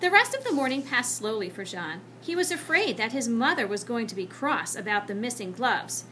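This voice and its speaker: A woman reading a children's book aloud as an expressive narrator. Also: fairly high pitch, moderate pace, a little hiss or hum. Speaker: storybook reader.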